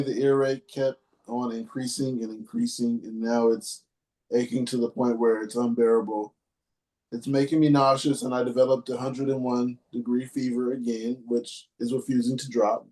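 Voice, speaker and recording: A male podcast host with a mellow, mid-pitched voice. He chats loosely and reads long passages aloud in a halting, even manner. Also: low-quality recording. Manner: laid-back, reads in a halting steady way. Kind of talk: casual chat and reading a listener post aloud.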